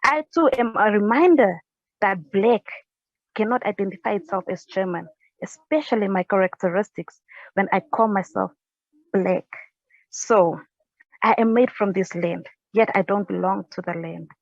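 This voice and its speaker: A young woman from South Africa reciting her own spoken word poem at home on a couch, earnest and halting, with restarts, into an earphone mic. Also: medium pitch, no echo. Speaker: poet. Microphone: earphone headset mic. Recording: dry, dull lo-fi sound.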